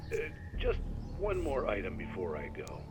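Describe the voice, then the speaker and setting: A man's voice: fairly low pitch, wildly swooping pitch, measured pace, background noise. An actor playing an easygoing, folksy small-town man hosting a late-night radio show. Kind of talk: radio sign-off monologue. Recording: dull radio sound under loud music.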